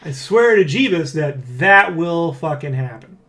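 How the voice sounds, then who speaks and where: Excitable, higher-pitched man hosting a casual vlog. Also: a little slow. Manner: excitable, rambling, freely swearing. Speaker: host of a development blog. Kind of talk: casual vlog chat, addressing viewers.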